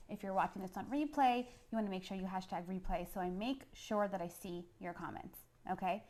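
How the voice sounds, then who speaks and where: A middle-aged woman from Puerto Rico chatting informally as a chef on a live cooking show, often checking in with viewers. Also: mid-range voice. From San Juan, Puerto Rico. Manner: chatty, informal, addresses viewers directly with frequent "okay?" tags. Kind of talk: casual live-streamed cooking demo chat.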